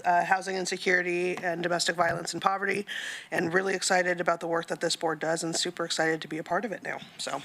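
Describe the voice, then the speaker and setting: A woman's voice: lowish voice, a bit bright. A woman introducing herself to a board in a casual, chatty, self-deprecating way. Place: meeting room on a table mic.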